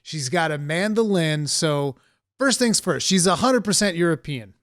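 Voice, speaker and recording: Animated Portuguese-born man, dry close mic. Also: a little high, normal speed, no echo. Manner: animated storytelling, emphatic asides. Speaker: podcast co-host. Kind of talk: casual chat.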